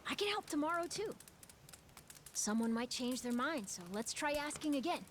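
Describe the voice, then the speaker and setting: A woman's voice: high voice, lively intonation, normal speed, slight background noise. A woman voicing a plucky, curious island child in a video game, offhand and earnest with a youthful, casual delivery. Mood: eager, wistful. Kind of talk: video game cutscene acting. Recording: music clearly behind the dialogue.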